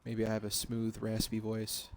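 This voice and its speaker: A man in his thirties quietly talking through a game at home at night, half-whispering, relaxed and self-deprecating. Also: lowish voice, normal speed, slight background noise. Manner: hushed, half-whispered talk. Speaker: gaming streamer. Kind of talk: casual let's-play commentary.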